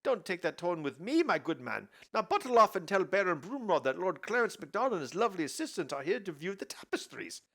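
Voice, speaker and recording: A man's voice: high-pitched, very animated, brisk, few pauses, fairly bright tone, pitched above the performer's own voice, heavily acted. A man voicing a pompous, indignant lord with a put-on Scottish accent.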